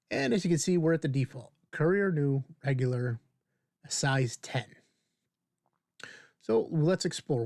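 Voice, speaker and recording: A man in his fifties hosting a casual screen-share software tutorial, informally thinking aloud as he demonstrates. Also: fairly high pitch, no echo, clean studio sound. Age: 50s. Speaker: video tutorial host.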